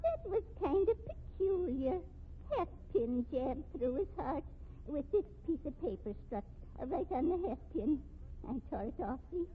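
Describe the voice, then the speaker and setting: A woman's voice: sits high, sing-song, a little slow, a little hiss or hum. An actress in a 1950s radio mystery playing a feeble-minded flower-selling woman, gleeful and simple, with uneducated speech. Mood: eerily pleased. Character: feeble-minded, eccentric flower-seller woman boasting of curses. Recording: tinny band-limited old radio recording.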